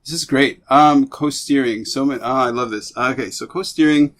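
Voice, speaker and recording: An excitable male podcast host with a mid-range voice gushes over what his guest shows him. He talks in stammering, rambling bursts, and his voice jumps up when something delights him. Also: mid-range voice, normal speed. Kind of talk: casual interview chat.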